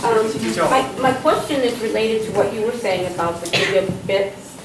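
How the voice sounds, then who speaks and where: A woman in the audience standing to ask a question, with a loud teacher's voice. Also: fairly high pitch, normal speed. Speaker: audience member. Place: public event hall. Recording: distant voice in echoey room, clear noise.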